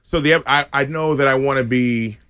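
Male comedian, animated storyteller, dry studio mic, middle pitch. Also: normal speed, clean tone, no echo. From Boston. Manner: animated storyteller, laughs often. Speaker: comedian guest. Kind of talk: casual radio chat.

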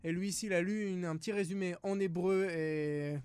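A man's voice: high voice, steady tone, fairly quick, darkish tone, clear, resonant tone, no echo. A man from France, a studio guest talking in a casual panel discussion, hesitant with many fillers and restarts.

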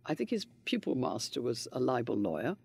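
An older man, answering interview questions in a measured, gentle and reflective way. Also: medium pitch, very animated, gravelly, breathy edge, no echo. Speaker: interviewee, widower of a politician.

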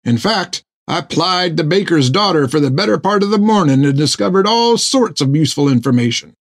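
A man voicing an excitable, food-loving male sailor who talks in a folksy, rambling way. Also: fairly high pitch, brisk, no echo, clean studio sound, pitched above the performer's own voice. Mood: chatty. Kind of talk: audiobook character voice.

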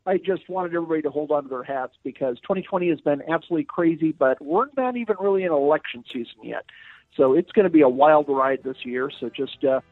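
Phone-line guest with dry humor. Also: male voice, a little high, fast talker, dry recording. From Upper Peninsula of Michigan. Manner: dry, self-deprecating humor. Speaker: guest commentator, editor. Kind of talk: phone-in interview.

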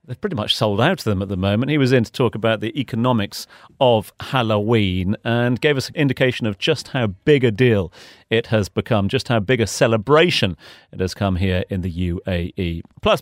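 A man's voice: mid-range voice, lively intonation, moderate pace, no room sound, studio quality. A man hosting a business news podcast, introducing items in a conversational radio style. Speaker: podcast host.

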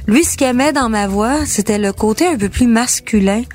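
A middle-aged woman from Quebec with a Québécois French accent, candidly telling her story as a country singer and often pausing on fillers. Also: a little high, a little mellow. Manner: candid, halting with frequent fillers. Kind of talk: personal storytelling.

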